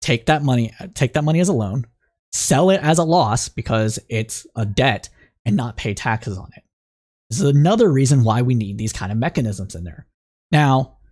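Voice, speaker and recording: A man with a slightly raspy voice hosting a call-in political news podcast, explaining the week's news and reading reports aloud, with frequent fillers and restarts. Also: medium pitch, darkish tone. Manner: thinking aloud, many fillers and restarts, reads news excerpts aloud. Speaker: podcast host.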